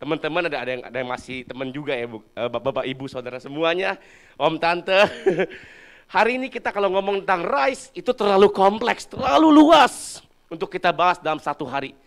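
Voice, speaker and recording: A man in his thirties giving a short, casual sermon, hoarse after drumming, joking with the congregation and laughing often. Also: high voice, lively intonation, a little fast. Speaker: worship speaker, church musician.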